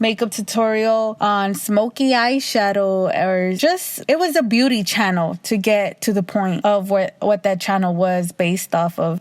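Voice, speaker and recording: A young woman with a raspy voice hosting her own podcast, talking loosely and casually, swearing freely, a little tipsy. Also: mid-range voice, no room sound. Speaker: podcast host. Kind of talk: casual solo monologue.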